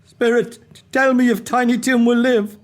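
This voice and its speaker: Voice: mid-range voice, flat delivery, moderate pace, natural voice. An actor playing an old miser, Scrooge, who stammers and begs anxiously in a radio play. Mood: pleading.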